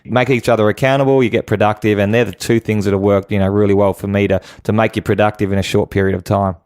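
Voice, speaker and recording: A man with an Australian accent talks in a fairly low voice, recorded very dry. He gives practical advice in a conversational style, as a coach would in an interview. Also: level tone, fast, darkish tone, no room sound. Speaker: guest, home-business coach.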